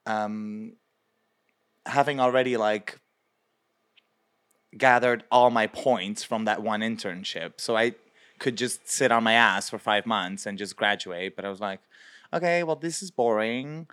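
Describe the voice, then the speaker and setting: Young man from Belgium, drag performer, low smooth voice, rambling animated interview talk. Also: a little slow. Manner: chatty, lots of fillers, animated quoting. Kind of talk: casual interview.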